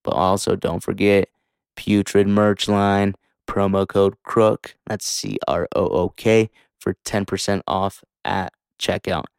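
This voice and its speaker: A man's voice: low-pitched, slow, gravelly, clear, resonant tone, no echo, clean studio sound. A man hosting a podcast in casual, hyped, slangy talk with some swearing, a native English speaker. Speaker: podcast host.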